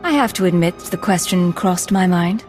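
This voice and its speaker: An actress playing a cool-headed, defiant Imperial agent in a video game, with clipped, dry delivery. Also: female voice, fairly low pitch, lively intonation, moderate pace, a little mellow, soft background music, lightly acted. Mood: composed, questioning.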